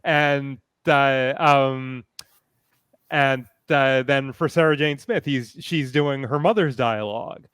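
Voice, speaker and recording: A man speaking as a panelist in casual discussion, halting as he thinks aloud. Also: medium pitch, a bit bright, clear, resonant tone, dry recording, clean studio sound.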